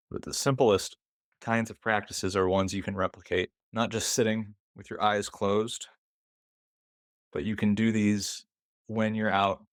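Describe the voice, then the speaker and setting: A man leading a guided meditation, speaking deliberately in short phrases with pauses between them. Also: fairly low pitch, no room sound, studio quality.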